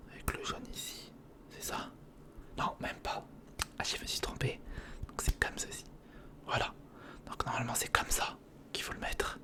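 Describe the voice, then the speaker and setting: A man speaking in a breathy whisper close to the microphone, informally and reassuringly talking the listener through each step in ASMR style. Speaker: ASMR video creator. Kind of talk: whispered ASMR build-along. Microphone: close sensitive recorder microphone.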